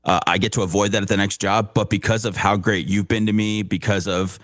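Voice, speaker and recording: Lower-pitched man in casual radio talk. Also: little pitch movement, brisk, fairly bright tone, dry recording, studio quality. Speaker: radio show cast member.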